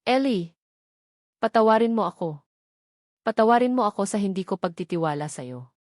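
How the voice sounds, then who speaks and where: A woman's voice: medium pitch, expressive, moderate pace, a little mellow, dry recording, clean studio sound, lightly acted. Remorseful, pleading estranged wife, read by a woman. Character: remorseful young mother, heartbroken estranged wife.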